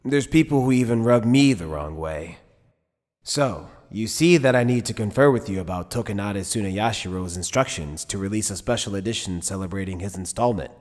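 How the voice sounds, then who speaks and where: A man playing an easygoing but shrewd middle-aged head captain in an anime fan dub, speaking in a measured, wry, casual way. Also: fairly low pitch, darkish tone, lightly acted. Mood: grave, measured. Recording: long hall reverb.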